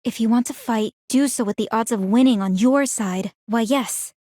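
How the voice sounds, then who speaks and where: Woman voicing a composed, analytical young game heroine, higher-pitched. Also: dark tone, no room sound, clean studio sound, natural voice. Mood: composed, confident. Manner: measured, formal, articulate. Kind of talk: scripted video game character dialogue.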